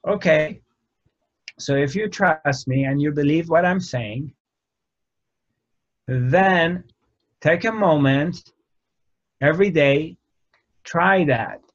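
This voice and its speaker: A man in his fifties giving a slow, halting spiritual talk to camera, often restarting his phrases, recorded in a dark indoor room. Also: a little high. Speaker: spiritual teacher. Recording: dull lo-fi sound.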